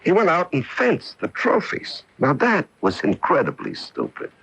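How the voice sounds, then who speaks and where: An actor playing a genial, seasoned police lieutenant in a radio mystery, gravelly and matter-of-fact, with a knowing manner. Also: male voice, a little high, wildly swooping pitch, a little slow, a bit bright, exaggerated voice. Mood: pleased, confident. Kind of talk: radio mystery drama acting. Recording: muffled AM radio broadcast.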